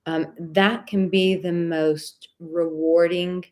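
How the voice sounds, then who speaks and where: A woman with a slightly low, soothing voice hosts a live advice stream. She chats warmly and affectionately with viewers, reading out their comments with lots of casual filler words. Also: level tone, slow. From Czech heritage.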